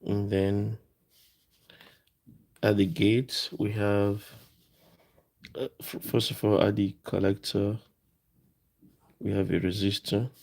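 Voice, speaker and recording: A man presenting a casual hands-on electronics tutorial, explaining as he works in a halting, informal way, thinking aloud. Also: lowish voice, little pitch movement, vocal fry, a little mellow.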